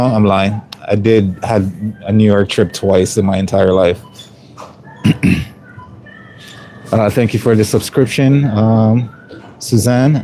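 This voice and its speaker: A middle-aged man from Jamaica with a mostly Canadian accent and a hint of Jamaican, chatting casually while cooking on a livestream, relaxed and rambling with many ums. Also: on the low side, low-quality recording.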